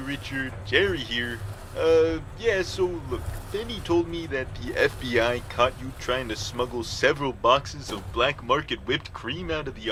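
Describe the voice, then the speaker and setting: An actor playing an earnest, clingy, dorky office pal in a video game, folksy and awkwardly sincere. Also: male voice, fairly high pitch, a bit bright, a little hiss or hum, lightly acted, low-quality recording.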